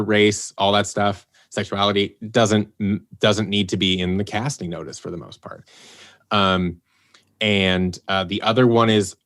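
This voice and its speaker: A man with a fairly low voice, talking loosely and easily in a podcast chat. He wanders through his thoughts, doubles back on words, and perks up whenever he acts out what someone said. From Los Angeles area, United States. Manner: rambling, restarts and fillers, animated when quoting himself. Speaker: producer and writer of an audio drama. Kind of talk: casual podcast interview.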